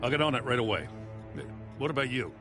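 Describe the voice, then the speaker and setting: A man playing an earnest police lieutenant in a video game, urgent and emphatic, with a creaky edge. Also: mid-range voice, animated, fast, lightly acted. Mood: businesslike. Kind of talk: dramatic video game acting. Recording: faint music under the voice.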